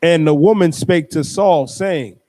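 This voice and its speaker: A man in a class reading scripture aloud in a slow, loud, formal way. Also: on the high side.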